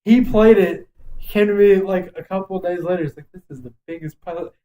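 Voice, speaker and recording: A man co-hosting a podcast, chatting casually about movies in an opinionated, animated manner. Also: high voice, a little fast, poor audio. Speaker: podcast co-host.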